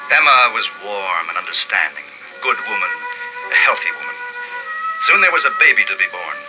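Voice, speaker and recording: An actor playing an earnest, educated young male survivor who narrates his story deliberately and dramatically in 1950s radio drama style. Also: lowish voice, wildly swooping pitch, rough recording. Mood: grave narration. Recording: old radio broadcast with music behind.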